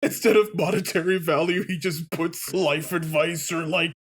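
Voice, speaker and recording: A man's voice: fairly high pitch, steady tone, moderate pace, fairly bright tone. A man co-hosting a let's play, chatting casually and jokily about games. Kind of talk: casual gaming chat.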